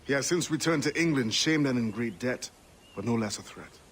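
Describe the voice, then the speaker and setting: A grave assassin informant, acted by a man in a game cutscene. He reports in a formal, serious way at medium pitch, with faint noise in the background. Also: animated, a little fast, natural voice. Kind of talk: video game cutscene drama.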